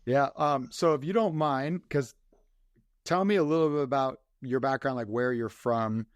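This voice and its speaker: A man's voice: medium pitch, normal speed, no echo, clean studio sound. A man hosting a podcast, asking rambling questions with lots of fillers and restarts. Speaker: podcast host. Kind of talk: casual interview.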